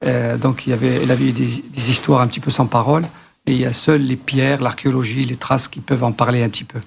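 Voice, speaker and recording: Guy with a raspy gravelly voice, guest on a radio show, lots of euh's. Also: medium pitch, level tone, quick pace. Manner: hesitant, listing facts with many fillers. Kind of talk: radio discussion.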